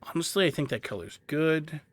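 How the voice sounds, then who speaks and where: A man's voice: a little high, expressive, a little fast. A man hosting a video game tutorial, casually explaining menus and thinking aloud as he plays. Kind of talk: casual gameplay walkthrough commentary.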